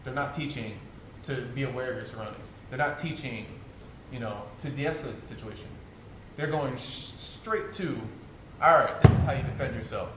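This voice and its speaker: A man's voice: medium pitch, moderate pace. A man hosting a casual podcast about jiu-jitsu, chatting loosely and then explaining a technique step by step. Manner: laid-back, explains with fillers like "you know". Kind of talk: casual chat and technique demonstration. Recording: echoey room with background noise.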